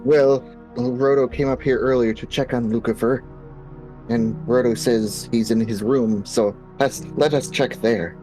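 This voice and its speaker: A man speaks at an average pitch as a tabletop game player. He casually narrates roleplay in the third person. Faint music sits behind his voice. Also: fairly even intonation, a little slow, darkish tone, poor audio.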